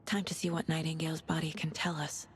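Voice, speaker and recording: Composed, low-voiced female investigator played by an actress. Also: level tone, a little hiss or hum, natural voice. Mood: focused, pondering. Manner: measured, matter-of-fact. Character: composed, analytical woman FBI agent investigating a death. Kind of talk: video game acting.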